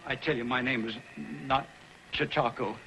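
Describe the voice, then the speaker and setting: An actor playing a mild gold prospector, pleading and indignant, in an old television western. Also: male voice, medium pitch, normal speed, lightly acted, low-quality recording. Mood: bewildered protest. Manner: pleading, protesting, excitable. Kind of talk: 1950s television western acting. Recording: old TV soundtrack with music behind.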